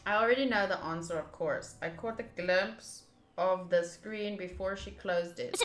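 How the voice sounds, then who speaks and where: A woman's voice: fairly low pitch, normal speed. A woman game streamer reading a visual novel aloud and adding casual commentary as she plays. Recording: roomy echo, faint game music. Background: faint game music.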